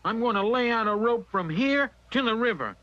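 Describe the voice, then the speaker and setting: A man's voice: very high voice, lively intonation, normal speed, a bit bright, no room sound, highs cut off. A man voicing a small, cocky, scheming male turtle with a wheedling manner in a cartoon story.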